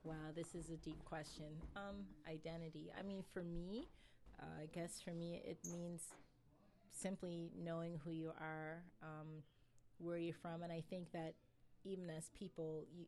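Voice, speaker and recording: A woman poet answering interview questions in a thoughtful, easygoing way, laughing often and pausing with fillers. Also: on the low side, level tone, warm tone. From England, raised in Nova Scotia.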